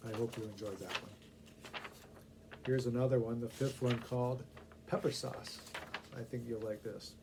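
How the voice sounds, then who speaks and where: A man's voice: medium pitch, fairly even intonation, moderate pace, long pauses. A middle-aged man reading short humorous stories aloud, with casual asides to the listeners. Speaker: story reader. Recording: faint background noise.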